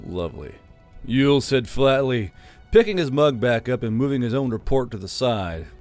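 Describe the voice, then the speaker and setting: A man narrating a fantasy novel in a steady storytelling manner, with dry, flat touches. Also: medium pitch, normal speed, faint music behind. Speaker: audiobook narrator.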